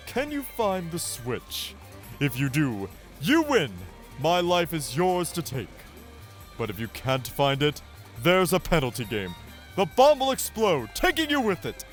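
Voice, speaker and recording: A man's voice: on the high side, sing-song, unhurried. A man playing a menacing, vengeful game master boy in a taunting, theatrical audio manga style, with music behind. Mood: sinister taunting. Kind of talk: audio manga dramatic acting.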